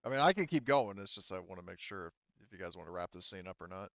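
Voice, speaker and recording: A man serves as game master with a smooth, clear voice that sits a little low. He moderates the tabletop session casually, pausing to check in with players. The recording is dry and slightly dull. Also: lively intonation, a bit bright, dry recording.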